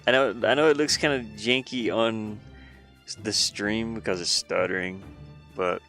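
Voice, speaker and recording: A man with a fairly deep, fry-edged voice talks casually on a live stream. He thinks aloud in halting, muttered phrases as he works, over faint background music. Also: steady tone, a bit bright. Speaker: hobby game developer streaming his work. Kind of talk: casual live-stream chat while working.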